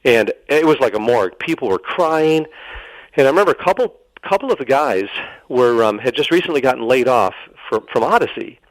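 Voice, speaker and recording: A man's voice: medium pitch, expressive, a little fast, no room sound, poor audio. A middle-aged man telling a personal story as a radio interview guest, earnest and dramatic, acting out the dialogue and repeating phrases for emphasis.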